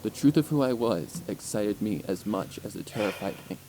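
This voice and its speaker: A man's voice: fairly low pitch, expressive, normal speed, noisy background. A man reading scripted story narration with an intense, theatrical delivery, as an audiobook narrator. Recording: music under the voice.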